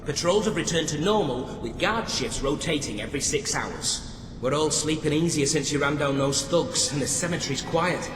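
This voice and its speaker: A man's voice: on the high side, expressive, normal speed, music in the background, lightly acted. Man acting an earnest prison guard, echoey hall. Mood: brisk, dutiful. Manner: deferential, hesitant, earnest. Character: dutiful, eager, slightly awkward male prison guard. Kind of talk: video game character acting. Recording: echoey hall with noisy ambience.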